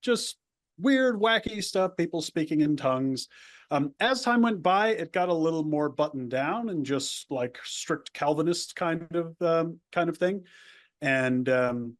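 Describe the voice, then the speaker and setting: A man's voice: a little high, expressive, measured pace. A man from the El Paso border area, a native Spanish speaker, telling his life story in a rambling, casual interview with many restarts. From United States, El Paso border area. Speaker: guest.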